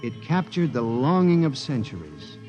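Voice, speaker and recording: A man's voice: medium pitch, sing-song, normal speed, over music, lightly acted. Brief: man doing a haunted, panicky narrator in a 1950s radio play, voice leaping around, dull old broadcast sound. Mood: grim. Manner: narrates then pleads in rising panic. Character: frantic man haunted by machines. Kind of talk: 1950s radio drama acting.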